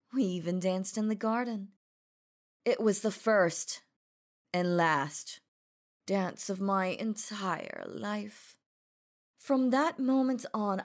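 An obsessive female demon acted by a woman who speaks slowly and deliberately, letting each word linger. Her voice is sultry and coaxing, sitting in an ordinary middle register, yet it carries a cold, controlling menace in an audio roleplay. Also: medium pitch. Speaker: voice actor.

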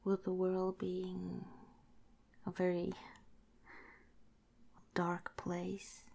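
A woman whispering tenderly and haltingly in an intimate, affectionate romantic ASMR roleplay, her voice breathy. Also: lowish voice, completely flat delivery, mellow.

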